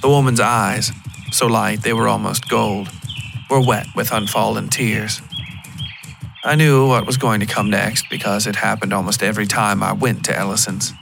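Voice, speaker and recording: A man narrating a scripted first-person story in a measured, literary storytelling style. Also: on the low side, fairly even intonation, vocal fry, noisy background. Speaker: fiction podcast narrator.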